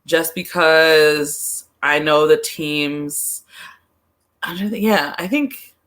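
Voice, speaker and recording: A woman's voice: deep voice, slow, fairly bright tone. A woman in a casual sports chat as a podcast guest, giving her opinions informally and hesitantly, often repeating her phrases. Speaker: podcast guest, soccer pundit.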